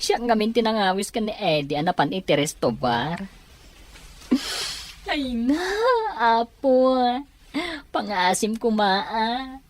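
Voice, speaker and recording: A woman playing an anxious relative at a hospital in an audio drama, talking in a hurried, worried way. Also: mid-range voice. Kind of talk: Ilocano audio drama acting.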